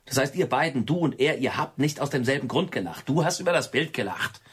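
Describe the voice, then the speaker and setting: A man's voice: mid-range voice, animated, fairly quick, breathy edge. A man acting a sceptical friend in a radio play, questioning insistently and arguing over every word. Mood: argumentative. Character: probing, sceptical male friend who nitpicks every word.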